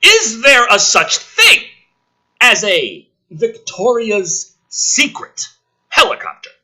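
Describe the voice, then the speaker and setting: A man's voice: high-pitched, huge pitch swings, takes it slowly, bright, forceful tone. A man hosting a channel, giving a sarcastic, theatrical script reading with exasperated, foul-mouthed commentary. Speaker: host of a gaming and film channel.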